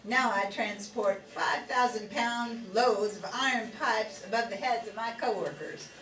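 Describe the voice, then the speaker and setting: Woman as hearty wartime factory worker, fairly high voice, folksy, radio play, roomy distant mic. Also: unhurried, a bit bright. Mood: matter-of-fact. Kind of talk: 1940s-set radio play. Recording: echoey room, distant mic, music behind.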